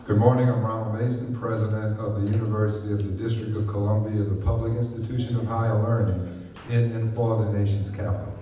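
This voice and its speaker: A man who is a university president, introducing himself in a brisk, steady way. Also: lowish voice, noisy background. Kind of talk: brief formal introduction. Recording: distant mic, long hall reverb.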